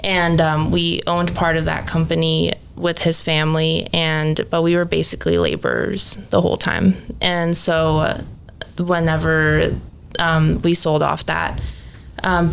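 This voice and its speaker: A woman's voice: low-pitched, unhurried, vocal fry, a little hiss or hum. A woman in her thirties, from Mexico and raised in Phoenix, chatting casually as a podcast guest with lots of ums and likes. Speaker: podcast guest, cleaning business owner. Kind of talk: casual interview chat.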